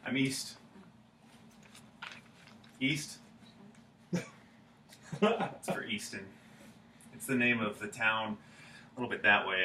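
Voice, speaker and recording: A man acting an awkward, gentle small-town man in a staged play reading, plain-spoken, halting and apologetic. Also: mid-range voice. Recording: roomy stage reading, distant mic, some noise.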